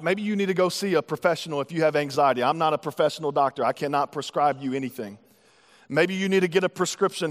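A man, a pastor delivering an emphatic sermon that rises to loud preaching. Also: sits high, long reverb tail. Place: church auditorium.

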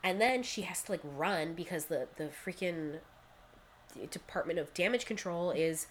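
A woman's voice: on the low side, normal speed, a little hiss or hum. A young woman co-hosting a podcast, recapping a show in casual chat, full of "like" fillers. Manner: chatty, many "like" fillers, quotes characters in lively voices. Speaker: podcast co-host.

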